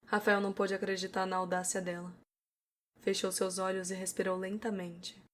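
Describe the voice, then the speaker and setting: Female narrator, Brazilian accent, scripted audio novel reading, mid pitch, steady flat intonation. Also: normal speed.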